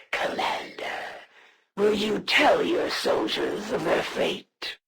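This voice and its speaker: Gravelly, haughty alien assassin. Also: medium pitch, a bit bright, heavily acted. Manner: formal, haughty, deliberate, theatrical. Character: proud, menacing alien assassin warlord, honor-bound and solemn. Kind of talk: scripted video game villain monologue.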